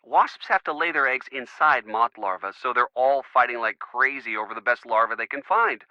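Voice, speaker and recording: An actor playing a chatty, jokey college quarterback boyfriend with an American accent, in casual video game phone dialogue. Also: male voice, mid-range voice, moderate pace, no echo, highs cut off. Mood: earnest, excited. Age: young adult. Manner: jokey, animated, slangy.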